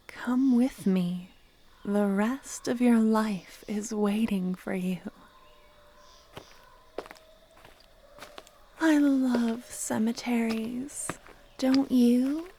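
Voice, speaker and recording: A woman playing a loving, obsessive cultist kidnapper in a soft-spoken ASMR roleplay, sweetly menacing, breathy and often whispering. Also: fairly high pitch, a little hiss or hum, heavily acted. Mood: tender, dreamy. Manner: slow, tender, intimate coaxing. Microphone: close ASMR microphone.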